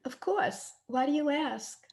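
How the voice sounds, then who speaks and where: A slightly high female voice from an actress as a lovestruck, headstrong young daughter. The delivery is earnest and a touch petulant, in old-fashioned radio-play style. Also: expressive, a little slow, a little mellow. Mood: let down. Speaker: actor in an amateur radio play. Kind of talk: old-time radio drama acting. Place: at home, recorded over video call.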